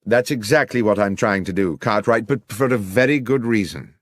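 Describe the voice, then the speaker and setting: An earnest, courteous gentleman of a Victorian detective story, acted by a male narrator. His voice sits fairly low and he speaks with grave, formal politeness. It is recorded close and dry. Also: moderate pace, no room sound, close to an everyday voice. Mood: grave, urgent.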